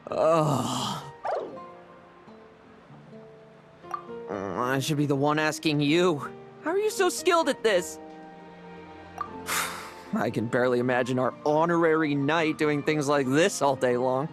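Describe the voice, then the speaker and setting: An actor playing a sardonic, self-doubting young knight in a video game, with a theatrical, teasing delivery. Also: male voice, a little high, big pitch swings, unhurried, music in the background. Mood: wry. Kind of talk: dramatic game voice acting.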